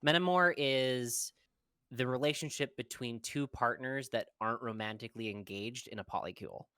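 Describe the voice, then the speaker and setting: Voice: mid-range voice, normal speed, clear, resonant tone, no echo. Young adult chatting loose on a podcast, lots of likes and ums, dead dry mic. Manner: relaxed, rambling, many fillers. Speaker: podcast guest. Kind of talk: casual podcast chat.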